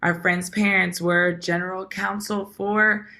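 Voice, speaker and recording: A woman guest from Washington, D.C. with a raspy voice, speaking emphatically and correcting details firmly. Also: mid-range voice, flat delivery, a little slow, bright, forceful tone. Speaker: podcast guest. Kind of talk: casual conversation.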